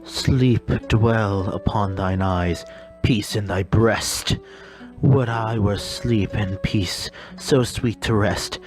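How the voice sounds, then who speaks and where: Dreamy lovesick young man put on by a comic dubber, lowered voice, slow earnest verse, music beneath. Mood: tender. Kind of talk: comic Shakespeare dub.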